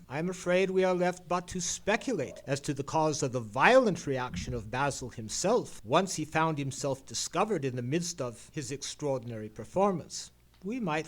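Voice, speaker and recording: Gentleman detective, radio drama, higher-pitched, very animated intonation, formal, knowing, dramatic pauses. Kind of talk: old-time radio play acting.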